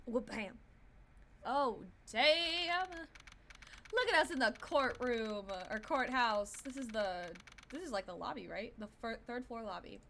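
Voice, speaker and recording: A woman's voice: on the high side, expressive, unhurried, bright, forceful tone, a little hiss or hum. A woman streamer playing a story game, reacting expressively and informally to what she reads. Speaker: streamer playing and reading out a visual novel. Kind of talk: live game stream with reactions and read-aloud dialogue.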